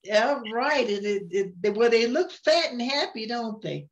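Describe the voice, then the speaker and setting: An older woman reading a rhyming picture book aloud in a gentle, unhurried way, often chuckling. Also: fairly high pitch, expressive, crowd noise.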